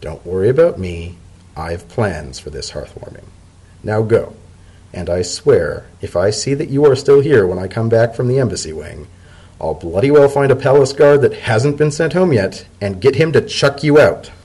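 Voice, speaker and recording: A man's voice: fairly low pitch, animated, normal speed, dark tone, breathy edge. A man voicing a dignified, dutiful male pony official in a story reading, dry, firm and understated. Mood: insistent.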